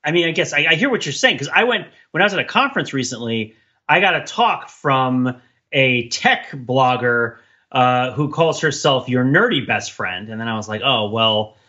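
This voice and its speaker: A man's voice: medium pitch, expressive, moderate pace, bright, forceful tone, highs cut off. A man co-hosting a podcast in casual chat, riffing with a playful mock-earnestness. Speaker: podcast co-host.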